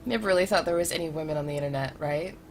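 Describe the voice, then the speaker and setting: A woman live streamer with a cold, chatting casually and jokily to her viewers. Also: fairly low pitch. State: has a cold. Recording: clearly noisy background ambience.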